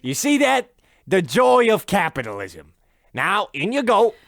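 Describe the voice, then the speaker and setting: An actor playing a pompous, blustering American tourist husband in a comedic radio play, exclaiming in an American accent. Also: male voice, sits high, wildly swooping pitch, a little slow, creaky, fairly bright tone, dry recording, clean studio sound, full character voice. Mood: smug.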